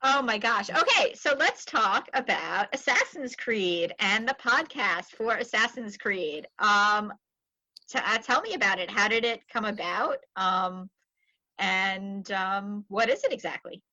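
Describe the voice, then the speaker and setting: A woman's voice: mid-range voice, expressive, unhurried, bright, forceful tone, no echo, lo-fi sound. A woman hosting a podcast in a chatty, rambling, casual interview style. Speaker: podcast host.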